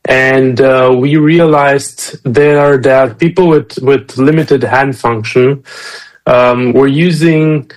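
Man from romania raised in germany, startup founder guest, halting thoughtful answers. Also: mid-range voice, steady tone, low-quality recording. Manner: thoughtful, many ums and restarts. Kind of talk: interview answers.

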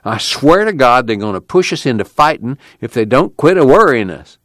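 A man's voice: mid-range voice, big pitch swings, moderate pace, fairly bright tone, dry recording, studio quality, voice pushed up. A man voicing a worried migrant camp leader in a storybook, with earnest, folksy rural speech. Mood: troubled. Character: plain-spoken, worried male migrant camp committee leader.

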